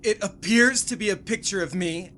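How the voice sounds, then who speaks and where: A woman's voice: medium pitch, moderate pace, a bit bright, slight background noise. A woman playing an indignant, flustered male suspect being interrogated in a melodramatic comedy sketch. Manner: melodramatic, pleading. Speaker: comedy podcast sketch performer. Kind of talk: theatrical sketch acting.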